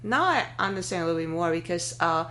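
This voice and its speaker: A woman in her thirties with a notably low voice hosts a podcast conversation. She speaks in a thoughtful, halting way, pausing on fillers and restarting sentences as she works out her questions. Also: lively intonation, a bit bright, a little hiss or hum. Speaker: podcast host. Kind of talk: conversational interview.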